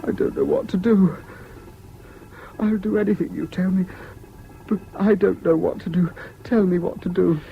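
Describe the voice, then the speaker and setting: An actor in a 1970s British radio drama playing a troubled, self-pitying jilted husband, halting and plaintive, on an old recording. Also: male voice, high-pitched, fairly even intonation, vocal fry. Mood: helpless. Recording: dull, faintly noisy old radio recording.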